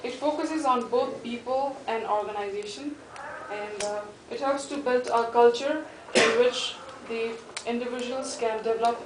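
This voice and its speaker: A woman's voice: on the high side, steady tone, moderate pace, few pauses. A young woman gives a hesitant class presentation with lots of fillers. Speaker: student presenter. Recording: echoey classroom, mic far off, background noise.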